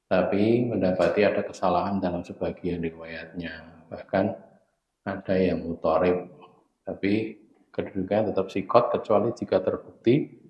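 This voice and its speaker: A hoarse man giving a religious study lesson, explaining narrator chains in a measured, teacherly way. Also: low voice. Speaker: hadith teacher. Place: mosque study room. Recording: echoey room, dull lo-fi sound.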